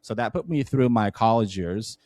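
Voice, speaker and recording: Low-voiced man with a Turkish accent. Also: lively intonation, moderate pace, darkish tone, no room sound. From Turkey. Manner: earnest, many restarts and fillers. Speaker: podcast guest, entrepreneur. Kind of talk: conversational storytelling.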